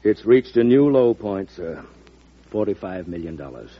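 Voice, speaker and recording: An actor playing a sober, anxious Treasury secretary in an old-time radio drama. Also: male voice, sits low, sing-song, moderate pace, a little hiss or hum. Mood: grave, worried. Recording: dull vintage radio recording.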